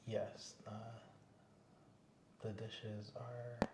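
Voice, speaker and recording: A man playing the patient in an ASMR exam, giving quiet, brief, hesitant answers, half whispered and set a little back from the mic. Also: on the low side, level tone, extremely slow, echoey room, very noisy.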